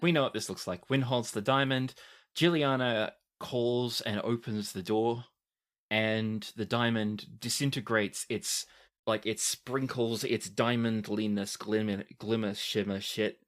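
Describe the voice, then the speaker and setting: A man narrating a tabletop game as game master, casual and halting as he improvises descriptions. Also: fairly low pitch, steady tone.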